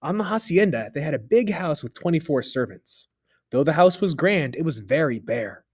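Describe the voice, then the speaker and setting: Animated male narrator for a read-aloud fable, bright and lively melody. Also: normal speed, husky. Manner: expressive, dropping low for narration tags. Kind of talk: children's story read-aloud.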